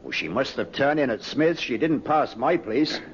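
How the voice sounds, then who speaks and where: An actor playing a plain-spoken, folksy country villager in an old-time radio drama. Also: male voice, mid-range voice, animated, normal speed, slight background noise, lightly acted. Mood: earnest. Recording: muffled old radio recording.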